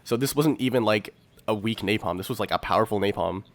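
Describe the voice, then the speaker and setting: A man with a fairly low voice gives casual commentary as a podcast co-host. His talk is informal and slangy, with the enthusiasm of a devoted fan. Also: quick pace.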